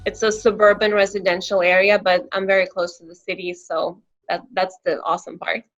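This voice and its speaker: A woman's voice: medium pitch, level tone, fairly quick, fairly bright tone, muffled recording. A woman in her twenties from Lithuania with a Lithuanian accent, her first language, answering interview questions in an earnest, open way. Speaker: guest.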